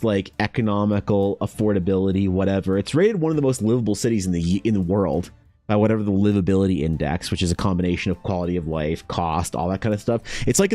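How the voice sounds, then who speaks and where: A man's voice: on the low side, a little mellow. A young man, talking in a casual, opinionated, rambling way, with swearing and many restarts. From Canada. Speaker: art vlogger. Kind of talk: casual chat.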